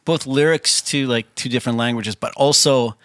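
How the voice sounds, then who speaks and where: A man's voice: medium pitch, moderate pace, fairly bright tone, no room sound. A man in his forties whose first language is Swedish, talking in a casual studio interview as a band singer, with many restarts and quoted asides. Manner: chatty, restarts often, quotes himself.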